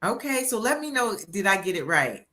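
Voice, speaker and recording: Woman in her sixties, live-stream interviewer, bright tone, motivational, upbeat. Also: medium pitch, moderate pace. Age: 60s. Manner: energetic, encouraging, motivational. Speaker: interviewer and book coach.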